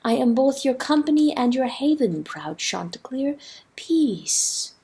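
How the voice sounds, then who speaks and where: A woman doing a calm, knowing river spirit. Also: high voice, slightly rough, pitched above the performer's own voice, exaggerated voice. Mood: soothing. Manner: soft, measured, gently mocking. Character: calm, wise talking river, mild and knowing. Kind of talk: audiobook character voice.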